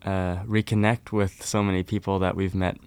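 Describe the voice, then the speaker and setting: A young man from Norway, a folk musician, chatting casually and warmly in an interview, with lots of "kind of" and "like". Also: deep voice, normal speed, clear, resonant tone, no echo. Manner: relaxed, friendly, uses fillers like "kind of, like".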